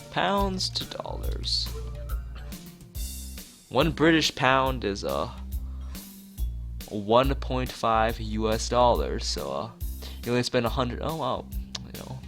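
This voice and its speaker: A man with a fairly low, very animated voice reads a visual novel aloud for a stream, slipping into casual asides. Guitar music plays behind him. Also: very slow, long pauses. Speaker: gaming streamer.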